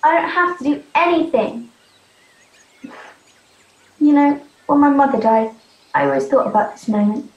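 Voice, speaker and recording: Defiant teen runaway girl, young actress, stage drama, earnest, slightly high voice, roomy sound. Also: lively intonation, moderate pace, long pauses. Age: young adult. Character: defiant, hurt teenage runaway girl living wild. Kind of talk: youth theatre stage drama acting. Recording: muffled, roomy amateur recording.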